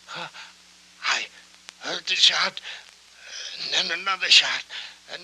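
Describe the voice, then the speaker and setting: An actor playing an injured, frightened old witness in a 1940s film noir, halting and breathy, trailing off as if in pain. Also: male voice, on the high side, sharp tone, full character voice. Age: older. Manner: halting, trailing off, repeating words. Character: frail, dazed, injured old dockside witness, rambling and frightened. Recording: noisy, humming 1940s film soundtrack.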